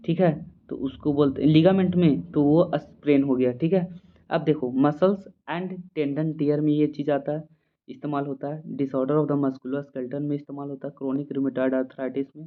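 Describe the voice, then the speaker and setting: A narrow, phone-like recording of a man with a mid-pitched voice. He lectures informally like a classroom teacher, mixing in English terms. He repeats key points and keeps checking that listeners follow. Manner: repetitive, checks understanding with short tag questions. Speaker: physiotherapy teacher. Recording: muffled narrow-band phone-like sound.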